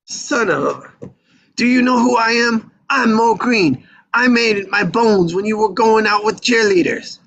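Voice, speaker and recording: Man playing a brash, gravelly casino mobster. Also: sits high, unhurried, a bit bright, muffled recording, heavily acted. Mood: angry, blustering. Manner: blustering, punchy. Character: brash, combative casino boss of the mob. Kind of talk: live table read of a crime film script.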